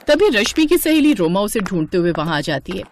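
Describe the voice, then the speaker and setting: A woman's voice: medium pitch, big pitch swings, fairly quick, a little mellow, a little hiss or hum. A woman reading a scripted moral story as its narrator.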